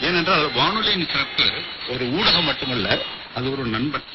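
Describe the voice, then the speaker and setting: An older man with an Indian Tamil accent speaks in a fairly high voice. He answers formally and in measured, literary phrases, heard through a dull, very noisy shortwave radio broadcast. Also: expressive. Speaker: retired radio official, interviewee.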